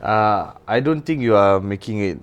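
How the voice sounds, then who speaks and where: A man's voice: lowish voice, lively intonation, normal speed, clean tone, lo-fi sound. A man with a Singaporean accent, a religious teacher talking casually as a guest, often searching for his words. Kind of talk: casual interview talk with anecdotes.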